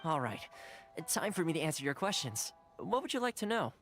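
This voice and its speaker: A man's voice: fairly high pitch, big pitch swings, a little fast. An actor playing a friendly, suave young male retainer in a video game, with a polite, playful and formal way of talking. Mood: warm, explanatory. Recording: game dialogue over background music.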